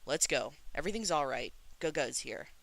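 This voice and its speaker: A reader as a domineering, gravelly elder-brother god. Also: mid-range voice, lively intonation, normal speed, fairly bright tone. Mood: curt, commanding. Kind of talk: dramatic audiobook character reading.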